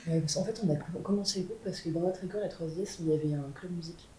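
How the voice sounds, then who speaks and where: A woman's voice: rapid-fire, dark tone. A young woman, a band's guitarist, telling the band's story in a casual interview with many fillers. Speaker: guitarist in a band. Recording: echoey room with faint rumble.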